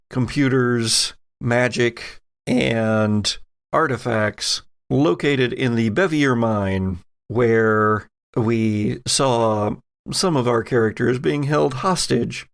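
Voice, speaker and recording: A man's voice: medium pitch, gravelly. A man narrating a tabletop horror game as game master, dramatic in his scene-setting with wry asides.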